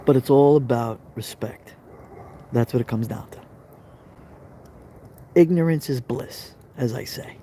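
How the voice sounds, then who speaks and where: A man's voice: mid-range voice, measured pace, long pauses, mellow, slight background noise. A middle-aged man with a Brooklyn accent hosting a casual live stream, chatting to viewers and reading their comments, often repeating himself. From Brooklyn, New York. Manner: chatty, repeats phrases, talks straight to viewers.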